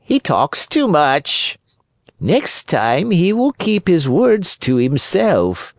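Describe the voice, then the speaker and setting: Man putting on a high, slow, sly cat. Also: wildly swooping pitch, dry recording, clean studio sound, exaggerated voice. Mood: smug. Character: sly, cruel cat feigning blindness.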